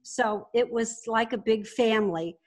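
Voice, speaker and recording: A woman's voice: a little high, unhurried, a little mellow. An older woman speaking conversationally as an interview guest, recalling and explaining. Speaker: podcast guest, business founder.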